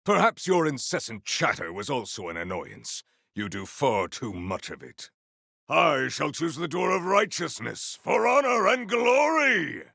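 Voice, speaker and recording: An actor playing a noble, earnest warrior hero in a video game, speaking in a pompous, formal and grandiose way. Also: male voice, on the high side, big pitch swings, a little slow, gravelly, pushed and bright, no echo, studio quality, exaggerated voice. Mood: grand, defiant. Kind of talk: video game character voice acting.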